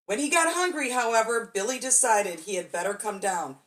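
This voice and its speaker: A woman's voice: fairly high pitch, animated, normal speed. A woman storyteller reading a children's bedtime story expressively, drawing out key words for dramatic emphasis.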